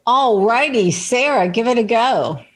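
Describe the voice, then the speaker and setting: A woman's voice: a little high, animated, unhurried, no echo. A woman hosting a panel discussion, handing questions from one guest to the next. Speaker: panel host.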